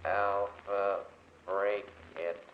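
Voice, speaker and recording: An actor playing an obedient robot servant, halting and toneless, in a 1940s radio drama. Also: male voice, fairly low pitch, little pitch movement. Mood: flat, obedient. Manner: halting, toneless, speaks of itself in third person. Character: hulking obedient robot servant built of aluminum. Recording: muffled noisy old radio recording with clicks.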